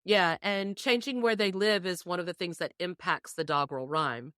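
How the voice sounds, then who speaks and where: A woman podcast panelist casually discussing a folk tale, telling it in an expressive storytelling way. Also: fairly low pitch, normal speed, clear, resonant tone, no echo, clean studio sound.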